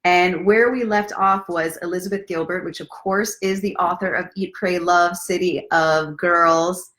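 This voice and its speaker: A woman's voice: fairly low pitch, steady tone, a little slow, fairly bright tone. A woman hosting a casual live story time, speaking directly to viewers in an encouraging, playful way as a self-love coach. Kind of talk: casual live-stream chat with guided breathing and book reading.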